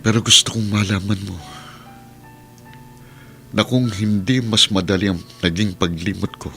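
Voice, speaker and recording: A man's voice: on the low side, fairly even intonation, normal speed, gravelly, pushed and bright, faint music behind. An actor playing an anguished, devoted lover and father in a radio drama, speaking earnestly and emotionally. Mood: reproachful, hurt. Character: anguished man in love, fiercely devoted new-found father. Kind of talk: Tagalog radio drama acting.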